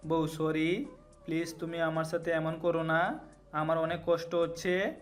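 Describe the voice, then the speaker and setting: A man's voice: fairly high pitch, level tone, unhurried, lightly acted. A man playing a bewildered young husband pleading plaintively with his wife in an audio story. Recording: music under the voice.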